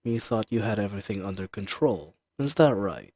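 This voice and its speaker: A man's voice: lowish voice, expressive, warm tone. A man playing an ancient dragon in roleplay audio, imperious and teasing, dangerous yet tender, speaking to the listener in formal, old-fashioned phrases. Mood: amused, condescending. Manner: slow, haughty, teasing, formal archaic diction.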